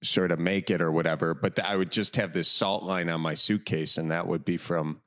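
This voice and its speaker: A man in his late thirties from Wisconsin with a Wisconsin accent, hosting a podcast in an easygoing, rambling way with "um" and "uh" pauses. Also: low voice, steady tone, fairly bright tone. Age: 30s. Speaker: podcast host. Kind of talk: casual podcast chat.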